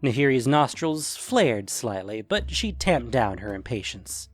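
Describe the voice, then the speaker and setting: A man's voice: mid-range voice, big pitch swings, a little slow, soft background music. A man narrating a scripted fantasy story as its storyteller.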